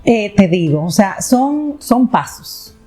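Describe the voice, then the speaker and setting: A woman hosting a panel conversation, her voice mellow and low in brightness. She talks in loose, winding sentences and keeps restarting and correcting herself. Her pitch sits in a middle range. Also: sing-song, slow, faint music behind. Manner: rambling, self-correcting, restarts with fillers. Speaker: host.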